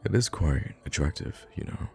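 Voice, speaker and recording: A man playing a kind, charming young prince in an intimate audio roleplay, with formal, courtly phrasing and hesitant pauses. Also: close to an everyday voice. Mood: amused, charmed. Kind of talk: intimate ASMR roleplay acting.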